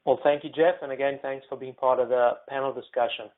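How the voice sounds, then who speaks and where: A man's voice: medium pitch, fairly even intonation, fast talker. A man from South Africa with a South African accent, moderating a panel webinar in an easygoing way with many ums and uhs. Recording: phone-like narrow audio.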